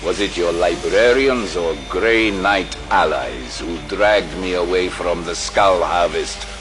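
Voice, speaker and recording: A man acting a hulking, menacing, sardonic superhuman berserker warrior in an audio drama, gravelly and taunting. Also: sits low, slow, background noise. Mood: suspicious menace.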